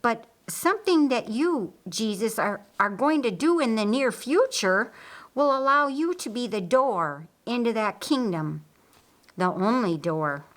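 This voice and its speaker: A woman voicing an earnest male pupil in an audiobook, reasoning aloud in a halting, thoughtful, searching way. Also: a little high, animated, fairly bright tone. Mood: earnest, wondering. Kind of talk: audiobook character dialogue.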